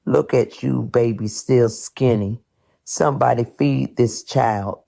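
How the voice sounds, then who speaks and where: Actor playing a slow, creaky old grandmother. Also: rumbling low, dark tone, no room sound, clean studio sound, full character voice. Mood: affectionate teasing. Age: older. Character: proud, fussing grandmother at a family graduation party.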